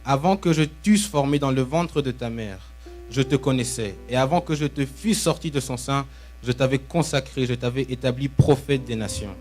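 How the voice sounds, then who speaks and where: Young man, preacher from Belgium, mid-range voice. Short, emphatic sermon phrases with pauses, soft instrumental music underneath. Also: medium pitch. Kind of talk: live sermon with pauses for interpretation. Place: church, speaking to a congregation. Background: musical instrument playing.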